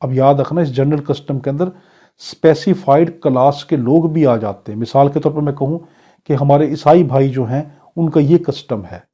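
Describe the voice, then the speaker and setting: Dull-sounding recording, Pakistani man lecturing, higher-pitched. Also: mellow, husky. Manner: reads English clauses slowly, then explains them in Urdu; didactic, poses questions and answers them. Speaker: law lecturer. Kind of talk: exam-prep law lecture, explaining with examples.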